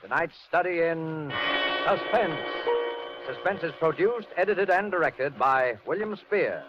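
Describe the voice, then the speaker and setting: A man announcing a radio suspense programme in a deliberate, formal, dramatic 1940s style. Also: on the high side, animated. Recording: muffled old radio recording, loud music.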